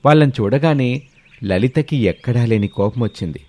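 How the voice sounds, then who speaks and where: A man's voice: medium pitch, lively intonation, normal speed, a little mellow. A man narrating a scripted moral story as a storyteller.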